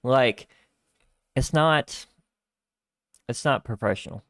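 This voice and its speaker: A man hosting a live stream in casual chat, rambling with lots of fillers and restarts, on a starter microphone that lacks bass. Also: slow, a bit bright, no echo, studio quality. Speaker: livestream host. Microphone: starter desk microphone lacking bass.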